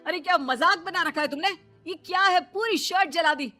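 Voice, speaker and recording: Cartoon husband, acted by a man: exasperated, nagging, sharp forceful voice. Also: very high-pitched, moderate pace, soft background music, heavily acted. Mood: angry. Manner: nagging, complaining. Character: exasperated scolding young husband. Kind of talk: cartoon story voice acting.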